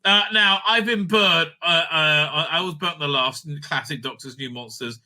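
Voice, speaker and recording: A man giving unscripted, profane, opinionated commentary as a video host, stammering and repeating himself in an excitable way. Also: fairly high pitch, normal speed.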